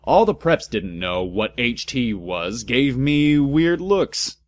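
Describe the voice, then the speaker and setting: A man's voice: mid-range voice, sing-song, slow pace. A man voicing a melodramatic, sweary teenage goth girl from a fan fiction story, overwrought and exclaiming. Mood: excitable, worried.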